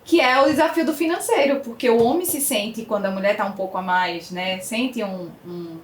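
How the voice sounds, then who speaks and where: High-voiced Brazilian woman in an echoey room. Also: moderate pace. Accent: Brazilian Portuguese. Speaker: podcast panelist. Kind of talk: conversational opinion-giving. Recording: roomy echo, faint background noise.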